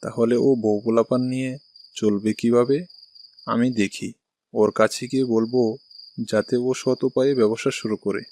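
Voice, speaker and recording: Man acting cartoon shopkeeper husband, mid-pitched voice. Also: fairly even intonation, normal speed, long pauses, a little mellow, natural voice. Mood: earnest, patient. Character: ice cream seller husband, earnest or gruff by turns. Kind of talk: Bengali cartoon dialogue.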